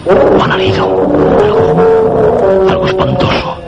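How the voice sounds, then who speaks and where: An actor with a Castilian accent playing an astonished researcher who recounts an eerie mystery in a suspense radio play, deliberate and full of dramatic pauses. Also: male voice, high voice, wildly swooping pitch, highs cut off, exaggerated voice. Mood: grave, suspenseful. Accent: Castilian Spanish. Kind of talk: Spanish suspense radio drama, first-person narration and dialogue. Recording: radio drama with prominent music behind.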